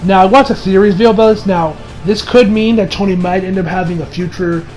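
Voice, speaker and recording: Young man rambling casually, higher-pitched voice. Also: fast talker. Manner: excitable, slangy fan talk with trailing asides. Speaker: video reviewer. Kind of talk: casual rambling movie review. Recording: music behind the voice. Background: music.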